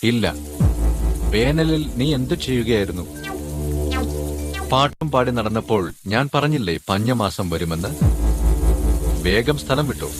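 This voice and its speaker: A man voicing a hardworking, stern little male ant who scolds and lectures in an animated storybook. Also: medium pitch, measured pace, pauses often, natural voice. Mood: scolding. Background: background music.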